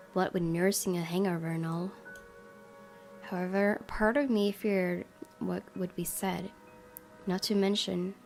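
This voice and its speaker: Female let's-play host, medium pitch, reading story text aloud, soft game music underneath. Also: gravelly. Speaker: gaming video host. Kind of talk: reading visual novel narration aloud, with casual commentary.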